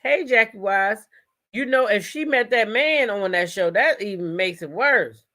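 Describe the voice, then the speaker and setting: A woman's voice: medium pitch, expressive, unhurried, pushed and bright. An American woman doing casual live gossip commentary as host, sassy and emphatic, often repeating phrases for emphasis.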